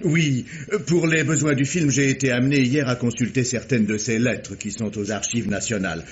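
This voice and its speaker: An actor playing a pedantic, fussy, apologetic scholar who stammers a little, in a vintage French radio mystery. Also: male voice, medium pitch, fairly even intonation, normal speed, lightly acted. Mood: absorbed, lecturing. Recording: dull, slightly noisy vintage radio.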